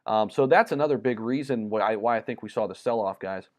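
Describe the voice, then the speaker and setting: A man's voice: on the low side, quick pace. A man from the United States hosting a casual crypto livestream, reading viewer comments with lots of ums and repeats, his voice a little worn. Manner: relaxed and chatty, many ums and repeated words. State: slightly losing his voice.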